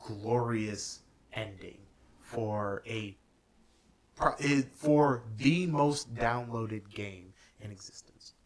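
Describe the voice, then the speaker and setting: Slow-talking young man explaining games. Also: medium pitch. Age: young adult. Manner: slow, thoughtful explainer with trailing fillers. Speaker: podcast co-host. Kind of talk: casual chat about games and movies.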